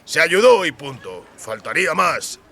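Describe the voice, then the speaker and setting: A man's voice: a little high, big pitch swings, unhurried, sharp tone, slight background noise, exaggerated voice. A man voicing a brash, jovial mercenary soldier with a hearty, folksy way of talking in an audiobook. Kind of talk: audiobook character acting.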